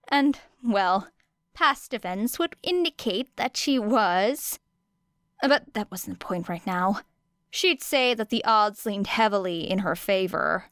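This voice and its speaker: A woman reading a story as an audiobook narrator, with expressive, dramatic phrasing and deliberate pauses. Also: mid-range voice, smooth, no echo, clean studio sound. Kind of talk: expressive scripted fiction narration.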